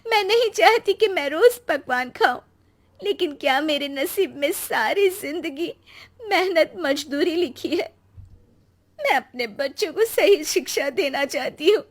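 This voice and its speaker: A high-pitched, humble village mother from a moral storybook tale, played by a woman narrator. She is devout and kind-hearted, with an earnest, pleading way of speaking. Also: expressive, unhurried. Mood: tearful pleading. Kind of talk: Hindi moral storybook acting.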